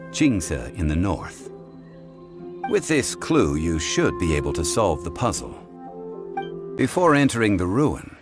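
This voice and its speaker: Very low, unhurried scholar-consultant, game dialogue, lively contour, light score behind. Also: male voice, full character voice. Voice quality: measured, formal and deliberate. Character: calm ancient male consultant, scholarly, composed. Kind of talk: video game voice acting. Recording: game score playing faintly.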